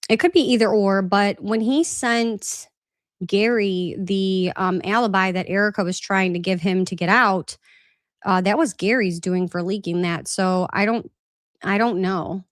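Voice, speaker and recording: A woman's voice: mid-range voice, steady tone, measured pace, dry recording, clean studio sound. A woman hosting a casual live-stream Q&A, reading out viewer comments in a loose, rambling way, a little congested with a slight cough. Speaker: podcast host. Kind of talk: live-stream recap and chat Q&A.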